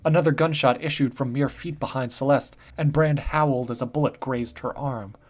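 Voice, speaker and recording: Brief: male storyteller, mid-pitched, steady dramatic read. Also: normal speed. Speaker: narrator. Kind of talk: scripted story narration.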